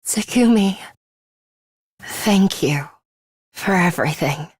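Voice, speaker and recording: An actress playing a proud, imperious young woman in a dramatic video game, haughty and deliberate, with a fatalistic streak and a hidden caring side. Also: lowish voice, lively intonation, dry recording, clean studio sound, full character voice. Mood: tender farewell. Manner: haughty, deliberate, breathy delivery with hushed drops.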